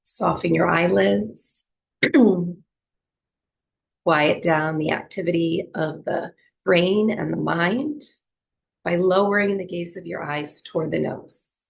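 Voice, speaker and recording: Slow, low-voiced woman guiding relaxation. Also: creaky. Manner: soothing, gentle, unhurried instruction with long pauses. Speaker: yoga and breathwork teacher. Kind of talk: guided relaxation meditation.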